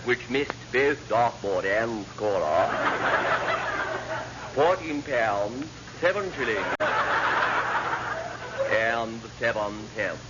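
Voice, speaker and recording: Fussy, swooping naval padre. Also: male voice, mid-range voice, lively intonation, drawn-out words, long pauses. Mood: officious, reciting. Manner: pompous, churchy, ornate phrasing. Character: fussy, kindly naval padre, earnest and pious. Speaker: ship's chaplain and welfare officer. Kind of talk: classic radio sitcom acting. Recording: muffled, noisy old radio comedy recording.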